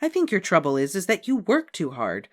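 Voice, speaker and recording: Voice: mid-range voice, normal speed, voice pushed up, lightly acted. A reader voicing a kind woman art teacher who speaks reassuringly to children. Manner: gentle and reassuring. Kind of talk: audiobook character voice.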